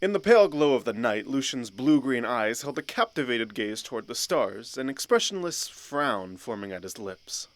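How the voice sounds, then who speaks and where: A man narrating a scripted fantasy audio drama in a measured, literary storytelling manner. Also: mid-range voice, big pitch swings, a little hiss or hum.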